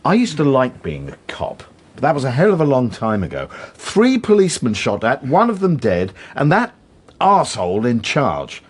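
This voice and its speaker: An actor playing a grumbling, weary police detective with a blunt, sardonic manner in a radio drama. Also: male voice, mid-range voice, huge pitch swings, normal speed, breathy edge. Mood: exasperated, bitter. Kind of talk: naturalistic radio drama dialogue.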